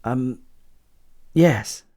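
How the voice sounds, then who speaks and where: A man playing a bashful, hesitant older gentleman suitor who stammers sheepishly in an audio drama. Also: medium pitch, drawn-out words. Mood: hopeful, eager.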